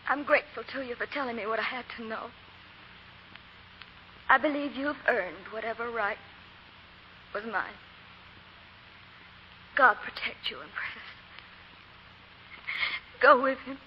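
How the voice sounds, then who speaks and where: An actress playing a gentle, anxious, noble young wife in 1940s radio drama style. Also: female voice, a little high, measured pace, pauses often, natural voice. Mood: solemn, resigned. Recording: muffled hissy 1940 radio with hum.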